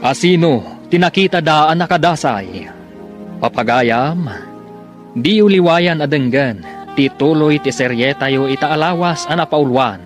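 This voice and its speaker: Slow-paced Ilocano male radio drama announcer. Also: a little high, animated. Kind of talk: scripted narration. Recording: prominent music under the voice.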